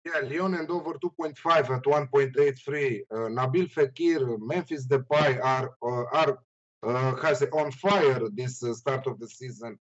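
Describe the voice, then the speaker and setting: A man's voice: medium pitch, a little slow. A man giving casual, opinionated betting analysis in non-native English, emphatic and prone to repeating himself. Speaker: sports betting pundit. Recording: slightly dull lo-fi audio.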